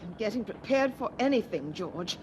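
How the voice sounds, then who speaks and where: An actress playing a devoted, bossy preacher's wife of the eighteenth century in an audio drama, with expressive old-fashioned diction. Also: female voice, mid-range voice, normal speed, slight background noise, lightly acted, rough recording. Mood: firm, scolding.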